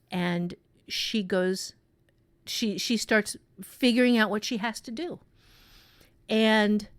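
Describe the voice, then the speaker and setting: A woman improvising a story in a conversational writing lesson, thinking aloud in a halting way with repeated phrases. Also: medium pitch, dry recording. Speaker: author and writing teacher.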